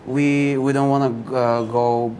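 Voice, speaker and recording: A man's voice: mid-range voice, level tone, measured pace, darkish tone. Bulgarian-accented man with ambient noise behind. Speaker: university recruiter and admissions counselor, interview guest. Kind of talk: informative interview answers. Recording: clear background ambient noise.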